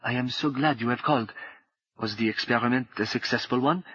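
A man doing a soft-spoken French woman. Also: medium pitch, fairly quick, slightly rough, no room sound, highs cut off. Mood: grave, urgent. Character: quiet French woman contact, formal and urgent. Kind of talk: audiobook character voice.

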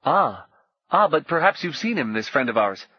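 A sinister stranger, voiced by a male narrator, smooth and almost childishly sweet. Every coaxing word carries menace under the false kindness. The sound is dull and muffled. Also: fairly high pitch, sing-song, normal speed, no echo, pitched above the performer's own voice.

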